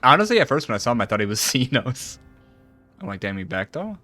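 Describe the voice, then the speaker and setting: A man's voice: mid-range voice, sing-song, fast talker, bright, forceful tone, no room sound. A man in his thirties streaming a game with casual, slangy, joking reactions and some dialogue read aloud. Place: small room at home. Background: game music.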